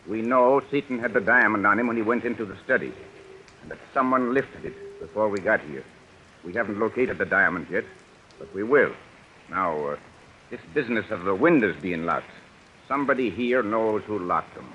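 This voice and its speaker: A forceful American police captain from a vintage radio thriller. He questions suspects in a low, hard-edged voice, clipped and commanding. The sound is dull and old, with faint rain noise over it. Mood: stern, impatient. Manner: brisk, authoritative, clipped questioning.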